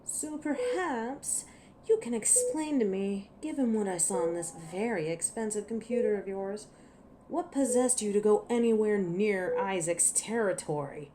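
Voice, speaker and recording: Actress as ancient she-wolf for audio roleplay: dark mellow tone, taunting, cocky, menacing; faint noise behind. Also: mid-range voice, expressive, measured pace, natural voice, low-quality recording. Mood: teasing, probing. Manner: sardonic, teasing, taunting. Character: cocky ancient female werewolf, teasing, menacing and impatient. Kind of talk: scripted audio roleplay monologue to a listener.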